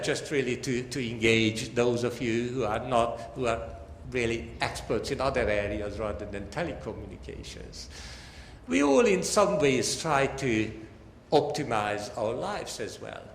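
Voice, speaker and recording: A man with a Hungarian accent delivers an academic lecture in a somewhat high voice. His delivery is formal and explanatory, with deliberate phrasing aimed at his listeners. The sound is strongly echoey, as in a large hall, with faint noise behind him.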